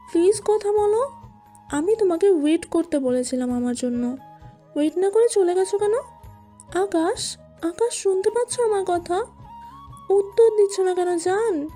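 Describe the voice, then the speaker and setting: A woman's voice: very high voice, dark tone, pitched above the performer's own voice. A woman voicing a clingy young woman, sugary and imploring, in a romantic audio story.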